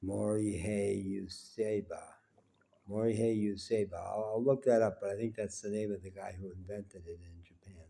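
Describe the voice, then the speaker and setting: A man in his seventies with a cold and a stuffy nose, talking slowly and reflectively at home in a rambling personal monologue. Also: fairly low pitch, steady tone, gravelly, mellow. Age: 70s. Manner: unhurried, rambling, with frequent pauses and fillers. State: congested with a cold. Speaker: vlogger. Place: small home indoors, quiet.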